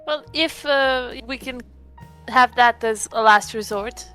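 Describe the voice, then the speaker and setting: A woman's voice: a little high, slow pace, bright, forceful tone, smooth, faint music behind. A woman playing in a tabletop vampire role-playing game, casually narrating and speaking as her character.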